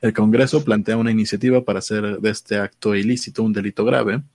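A man in his thirties with a low, husky voice, recorded dry and close. He lays out news details in a steady, informative way, like a co-host in a relaxed talk show. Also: fast, no echo. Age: 30s. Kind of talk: casual news discussion.

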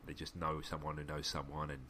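A man with a very low, deep voice talks casually on a podcast, joking and laughing often. He reads listener messages aloud in a relaxed, slangy way. A faint hiss of background noise sits under him. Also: a little fast. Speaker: podcast co-host.

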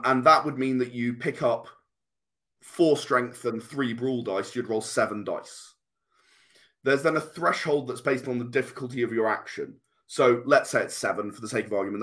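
A man's voice: medium pitch, a bit bright. A British man explaining things conversationally as an interview guest, earnest, often restarting and interrupting himself mid-sentence. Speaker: guest, tabletop RPG and comic creator.

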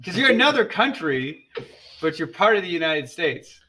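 A man's voice: high voice, sing-song, moderate pace, bright, forceful tone, poor audio. A man hosting a podcast with his ESL class, joking and teasing students in casual chat.

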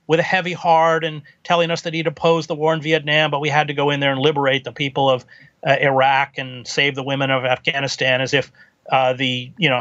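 A man as a guest in an interview, talking in a measured, lecturing way. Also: fairly high pitch, fairly bright tone, no echo, dull audio. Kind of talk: conversational interview.

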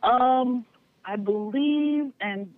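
A middle-aged woman, a podcast guest, telling candid stories in a frank, lively way with easy laughter and a neutral accent. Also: on the high side, extremely slow, no echo. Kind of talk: candid storytelling. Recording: tinny phone-line sound, dry.

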